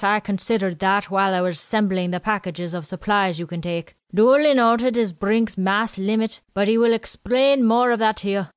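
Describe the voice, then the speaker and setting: A wheezy, nasal quartermaster rambles on in a fussy, teasing way, voiced by a woman reading a story. He sits a little higher than her plain narrating voice. The sound is close and dry, with no room around it. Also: clear, resonant tone, no echo, natural voice.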